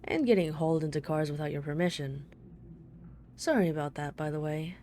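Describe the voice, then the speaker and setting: Woman playing a low, mellow, teasing female mafia boss. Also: a little fast, a little hiss or hum, close to an everyday voice. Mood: amused, playful. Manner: playful, smug, flirtatious banter. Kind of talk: ASMR roleplay, one-sided dialogue.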